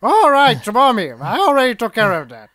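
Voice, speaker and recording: A woman's voice: a little high, wildly swooping pitch, fairly quick, noisy background. A woman voicing an eccentric, breezy old grandmother with a folksy, offhand manner in a cartoon parody dub. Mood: cheerful, offhand. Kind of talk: comedic anime parody dub.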